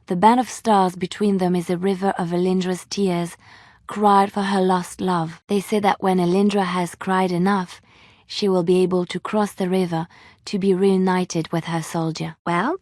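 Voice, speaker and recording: A woman playing a romantic, earnest young bard in a video game, speaking with expressive, lilting phrasing. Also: lowish voice, moderate pace, dry recording, studio quality, lightly acted. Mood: tender, hopeful. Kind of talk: video game character dialogue and storytelling.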